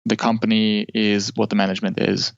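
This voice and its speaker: A man from Norway talks as an interview guest, with a lowish, gravelly voice and frequent fillers and restarts. The sound is dull and muffled. The recording is close and dry. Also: little pitch movement, brisk, no room sound. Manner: hesitant, many fillers and restarts. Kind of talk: casual interview talk.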